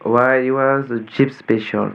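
A man's voice: mid-range voice, fairly even intonation, takes it slowly, gravelly, a bit bright. A man hosting a scripted mock radio show, reading his questions. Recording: dull lo-fi sound.

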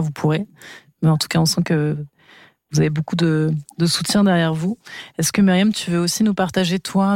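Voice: mid-range voice, darkish tone, dry recording. A radio interviewer hosting a live interview from a studio, asking long questions in a polite, hesitant way.

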